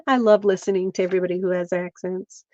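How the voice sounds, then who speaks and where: A woman's voice: mid-range voice, normal speed, mellow, no room sound, highs cut off. A middle-aged woman from Pennsylvania, chatting casually with viewers while she crafts on a livestream. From central Pennsylvania.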